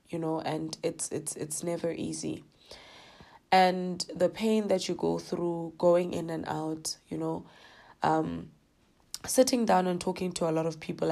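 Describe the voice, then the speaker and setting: A woman with a South African accent hosting her own podcast, slightly hoarse from a coming cold, talking in an earnest, heartfelt way with lots of "you know"s. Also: low voice, a little mellow. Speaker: podcast host. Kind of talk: reflective personal podcast talk.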